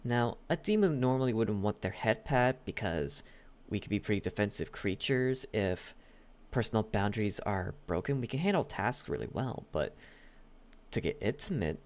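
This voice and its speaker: A performer playing a clingy, possessive yandere demon butler in an intimate ASMR roleplay, coaxing in halting, repeated words that slip into breathy whispers. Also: mid-range voice, animated, a little mellow, close to an everyday voice. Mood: coy, confiding.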